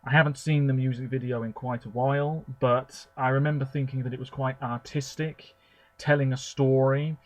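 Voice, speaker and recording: A man's voice: medium pitch, little pitch movement, moderate pace. Chatty man rambling through his opinions. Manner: chatty, opinionated, with frequent fillers. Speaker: video blogger. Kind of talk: rambling commentary.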